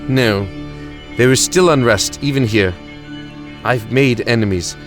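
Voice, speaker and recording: A man's voice: medium pitch, unhurried, over music, natural voice. A man playing a weary, grandiose time-traveling alien emperor in an audio drama, self-justifying and reflective. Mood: weary, resigned.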